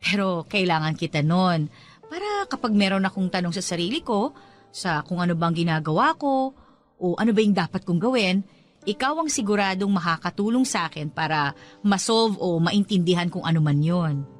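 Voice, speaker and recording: A woman playing a warm, encouraging school owner in a radio drama, speaking in an affectionate, effusive, teacherly way. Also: fairly low pitch, expressive, moderate pace, lightly acted. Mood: fond, nostalgic. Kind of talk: radio drama dialogue in Taglish.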